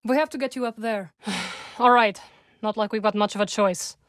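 A woman voicing a calm, dry-witted young witch companion in a video game, speaking in measured, formal lines recorded close on a studio mic. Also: medium pitch, no echo, natural voice.

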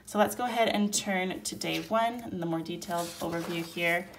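A woman's voice: on the low side, normal speed, a little hiss or hum, rough recording. Nasal-sounding woman with a cold, explaining. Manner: relaxed, chatty, explaining step by step. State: sick, nasal. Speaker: homeschool curriculum reviewer. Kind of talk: casual product flip-through. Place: indoors at a table, hands-only overhead camera.

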